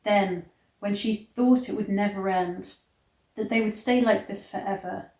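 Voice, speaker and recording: A woman reading a story aloud in a measured, theatrical storybook style. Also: medium pitch, darkish tone. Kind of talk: dramatic storybook reading with disgusted asides. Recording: echoey, roomy sound.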